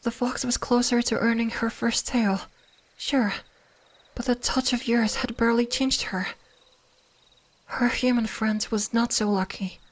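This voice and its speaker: A woman voicing a gentle, lonely young kitsune fox spirit in an ASMR roleplay, half-whispering softly and wistfully like a bedtime storyteller. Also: a little high, fairly even intonation, a little slow. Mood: wistful, sorrowful. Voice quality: soft, breathy, half-whispered.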